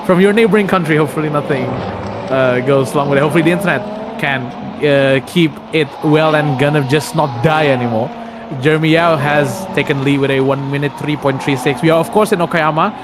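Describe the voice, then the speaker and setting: Chatty Indonesian sim racing commentator. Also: male voice, fairly high pitch, a little fast, noisy background. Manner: rambling, self-correcting, many uh fillers. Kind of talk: live remote race commentary. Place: at home.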